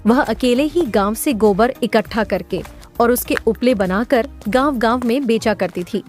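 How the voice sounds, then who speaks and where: Role: narrator. Style: scripted storybook narration. Pitch: fairly high. Behind: clear music. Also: female voice, moderate pace, darkish tone. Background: background music.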